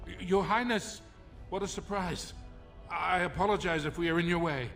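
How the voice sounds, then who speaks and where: A flustered subject in a fantasy game. His voice is high, nervous and deferential before royalty, over faint music. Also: moderate pace, fairly bright tone. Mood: flustered, apologetic. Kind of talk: fantasy video game voice acting.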